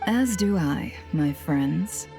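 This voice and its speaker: Shrewd young woman for a video game: lower register, cool, knowing, measured delivery. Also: animated, gravelly, dark tone, soft background music, full character voice. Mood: cool, satisfied. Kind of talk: video game character acting.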